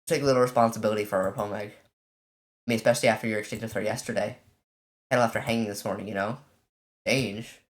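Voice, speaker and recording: A let's player casually commenting on a visual novel and reading its text aloud, in an informal, halting way. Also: medium pitch, fairly even intonation. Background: game music.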